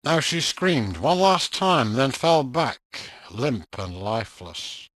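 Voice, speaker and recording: Male narrator for a ghost story, suspenseful and measured, expressive pitch, dry close sound. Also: no room sound.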